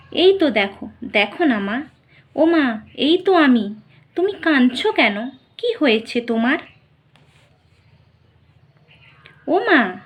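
A woman voicing a murdered young doctor's grieving ghost. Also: high-pitched. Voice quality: slow, tearful, breaking into whispers. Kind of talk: dramatic first-person monologue.

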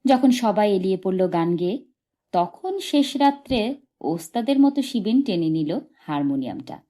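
A woman reading literary fiction aloud as an audiobook, in expressive scripted narration. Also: medium pitch, moderate pace. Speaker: audiobook reader.

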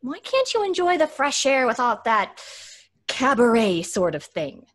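A proper, disapproving young lady in an old radio play. Her voice is fairly high and moves animatedly up and down. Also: very animated, a little slow. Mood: scolding. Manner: scolding, proper, exasperated. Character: prim, disapproving young woman traveler, Florence. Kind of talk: old-time radio drama acting.

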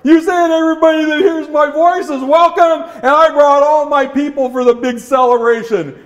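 An eager, easily indignant little dog leader acted out by a male storyteller. The voice is pitched very high, far above a normal man's talk, and pushes out loudly in excited bursts. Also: normal speed, highs cut off. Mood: eager, hopeful. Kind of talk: animated oral storytelling character.

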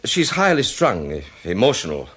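Husky, hesitant gentleman. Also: on the low side, huge pitch swings, moderate pace, exaggerated voice. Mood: earnest, explaining. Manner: hesitant, apologetic. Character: polite, hesitant, earnest gentleman with a worry. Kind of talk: British radio drama acting.

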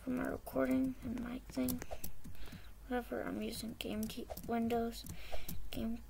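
A teenage girl talking quietly over her gameplay in a hoarse, half-whispered voice, rambling and hesitant, on a poor computer mic. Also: on the high side, little pitch movement, measured pace, noisy background. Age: teens. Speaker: gamer. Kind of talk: casual gameplay commentary. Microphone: poor-quality computer mic.

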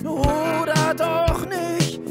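A woman's voice: very high-pitched, little pitch movement, very slow, loud music behind the voice. A woman singing a song.

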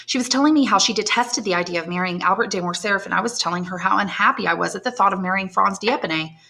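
A woman's voice: medium pitch, quick pace, creaky, pushed and bright. A woman reading aloud and voicing an anxious young woman in love, earnest and pleading. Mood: anxious, tender. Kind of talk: audiobook character voice.

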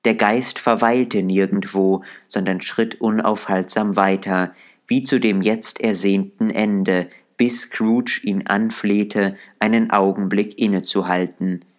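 A man reading an audiobook aloud in calm, measured storytelling narration. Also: on the low side. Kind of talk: scripted narration.

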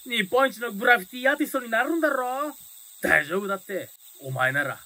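A man's voice: very high voice, lively intonation, brisk, sharp tone, full character voice. A man playing a hot-blooded, big-brotherly teenage delinquent leader in a radio drama. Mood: encouraging.

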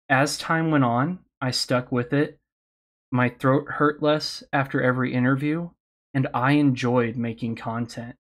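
Earnest, slightly hoarse man. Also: medium pitch. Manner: earnest, candid, encouraging. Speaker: podcast host speaking on a panel. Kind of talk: advice talk at an online convention panel.

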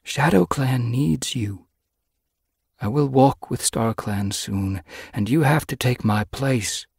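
A man voicing a wise old female cat in an audiobook story, stern but caring, with measured, admonishing speech. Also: mid-range voice, vocal fry, a little mellow, husky, no room sound, clean studio sound, pitched above the performer's own voice, heavily acted.

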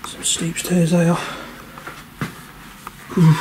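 A man's voice: a little high, completely flat delivery, slow pace. A man chatting casually and exclaiming at his surroundings as he narrates an exploring vlog on a handheld camera. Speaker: paranormal explorer, channel host. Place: indoors, walking through empty derelict building. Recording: echoey empty rooms, background noise.

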